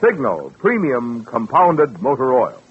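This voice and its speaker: A man with a raspy voice giving an emphatic, deliberate 1940s radio advertising pitch. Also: huge pitch swings, very noisy. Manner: emphatic, deliberate salesman delivery. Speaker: commercial announcer. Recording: tinny, phone-like vintage radio recording.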